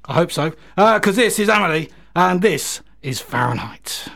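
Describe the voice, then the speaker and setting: A man's voice: high-pitched, lively intonation, normal speed, creaky, a bit bright. A man hosting a music radio show, chatting casually and enthusiastically, with hesitant, repetitive phrasing.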